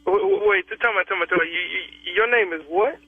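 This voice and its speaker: A man's voice: sits high, fast talker, slightly rough. A man in his thirties on a phone call, protective and talking with stammering repeats. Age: 30s. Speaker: prank call target. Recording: tinny dry phone line.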